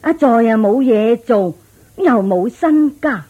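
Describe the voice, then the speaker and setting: A woman playing a blunt, doubtful older village matchmaker in a radio drama, sighing and lecturing in drawn-out Hong Kong Cantonese phrases. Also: on the high side, lively intonation, dark tone, breathy edge, full character voice. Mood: reasoning. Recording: dull lo-fi radio drama with music.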